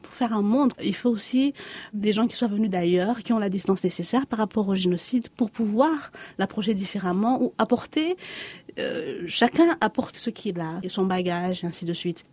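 Female artist, 30s, from Congo-Kinshasa; warm low-key tone, thoughtful, measured interview guest. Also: dry recording. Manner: measured, reflective, builds on repeated phrases. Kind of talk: reflective interview answers.

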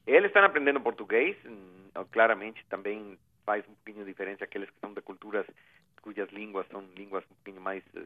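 A man's voice: lowish voice, quick pace. A man giving a phone interview, speaking earnestly with many hesitations and restarts. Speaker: interviewee, refugee agency representative. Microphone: telephone. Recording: tinny phone line.

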